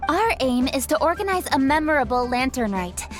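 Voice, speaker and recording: A sharp, efficient young official, talking in a high, firm and businesslike voice. She sounds principled and to the point, with light game music behind. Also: big pitch swings, brisk. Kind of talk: game cutscene voice acting.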